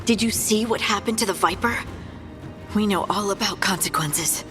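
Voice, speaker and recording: A woman's voice: mid-range voice, moderate pace, long reverb tail, over music, lightly acted. An actress playing an earnest, loyal young woman scout in a video game, speaking informally. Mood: concerned. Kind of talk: video game cutscene acting.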